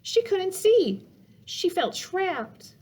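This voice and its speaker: A woman's voice: extremely high pitch, big pitch swings, drawn-out words, a little mellow. A woman reading a children's picture book aloud as a storytime reader, with dramatic emphasis meant to engage young listeners.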